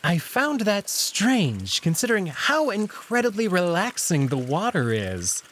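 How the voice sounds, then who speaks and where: Man voicing a suave, slow-talking male jackal courtier. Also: sits high, huge pitch swings, fairly bright tone, a little hiss or hum, pitched above the performer's own voice, heavily acted. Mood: feigned politeness. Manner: polite, leisurely, airy small talk with hidden edge.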